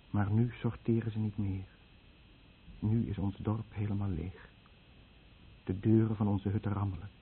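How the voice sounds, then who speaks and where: A man's voice: lowish voice, natural voice. Solemn actor as a guilt-ridden fisherman, tinny recording. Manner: solemn, deliberate, addressing listeners directly. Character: guilt-ridden Japanese fisherman, grave, confessing and warning his listeners. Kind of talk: radio drama monologue. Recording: old radio play, tinny narrow band, faint noise.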